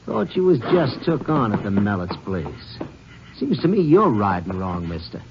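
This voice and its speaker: An actor playing a surly, suspicious drifting gambler in an old-time radio western, speaking gruff cowboy slang with an American accent. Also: male voice, lowish voice, sing-song, moderate pace. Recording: muffled, noisy vintage radio recording.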